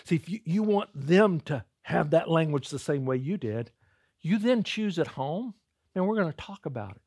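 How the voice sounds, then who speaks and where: A man's voice: a little high, lively intonation, moderate pace, darkish tone, dry recording, studio quality. A male pastor giving a conversational sermon, calling his listeners "guys" and sometimes repeating words as he starts a thought.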